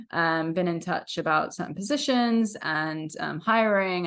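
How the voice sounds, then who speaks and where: A woman hosting an interview podcast who asks her questions in long, hedging, meandering sentences. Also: on the low side. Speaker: podcast host.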